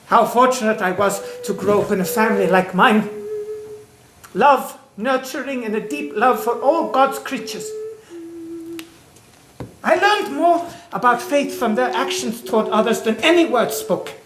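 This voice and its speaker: A man's voice: very high-pitched, measured pace. An actor in a stage play playing a devout, wistful imprisoned man in love, speaking in a dreamy, dramatic storytelling manner. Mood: nostalgic, tender. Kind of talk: theatrical stage-play monologue and dialogue. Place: stage, recorded with room echo. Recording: echoey stage with background noise and clicks.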